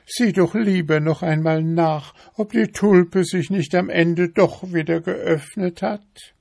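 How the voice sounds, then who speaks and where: A man's voice: steady tone, takes it slowly, gravelly, a little mellow, no echo, clean studio sound, exaggerated voice. A man voicing a preachy, nagging female beetle who pesters her husband with insistent questions, in a storybook reading. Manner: insistent, high-pitched questioning.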